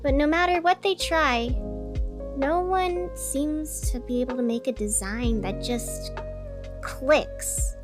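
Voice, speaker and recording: Woman acting as a chatty small town radio host lady, high voice, slow, kinda deadpan, music behind. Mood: wry reporting. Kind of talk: scripted fictional community radio narration.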